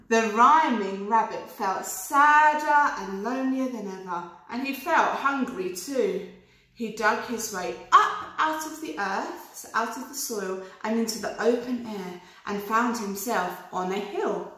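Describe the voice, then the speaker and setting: A British woman reading a storybook to young children like a teacher, pausing to explain, in an echoey room. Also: mid-range voice, fairly bright tone. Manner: explains words to young listeners. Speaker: primary school teacher reading aloud.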